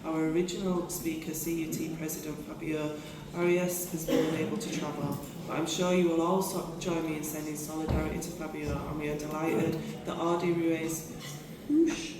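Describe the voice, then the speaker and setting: A woman's voice: deep voice, little pitch movement, moderate pace, over a noisy crowd. British woman chairing a meeting in an echoey hall. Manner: reads scripted text with frequent restarts and asides to the audience. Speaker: meeting chair, union general secretary. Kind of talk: chairing a conference fringe meeting, reading prepared remarks. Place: conference meeting room. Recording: echoey hall, voice from far off, noisy.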